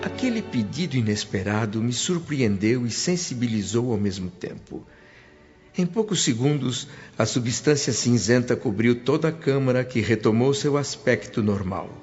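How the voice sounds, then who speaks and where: A man's voice: medium pitch, expressive, moderate pace, a little mellow, close to an everyday voice. Man playing a humble, earnest spirit narrator. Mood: moved, reflective. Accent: Brazilian Portuguese. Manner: earnest, reverent, literary storytelling. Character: humble, sentimental male spirit recounting his afterlife. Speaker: narrator and protagonist. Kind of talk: scripted radio drama narration. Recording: muffled radio drama with music bed.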